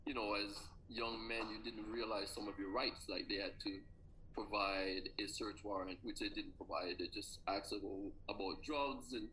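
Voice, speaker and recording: A man's voice: fairly low pitch, fairly even intonation, normal speed, pushed and bright, a little hiss or hum, poor audio. A man in his forties with a Jamaican accent, a track coach and former athlete, telling earnest personal stories in an interview. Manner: earnest, reflective storytelling.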